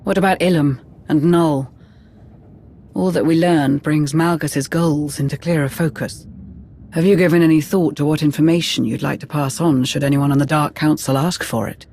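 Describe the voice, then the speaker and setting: A man's voice: on the high side, fairly even intonation, normal speed, gravelly, darkish tone, slight background noise. A man voicing an earnest, uneasy Imperial aide in a video game cutscene, speaking in a formal, concerned way. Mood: businesslike.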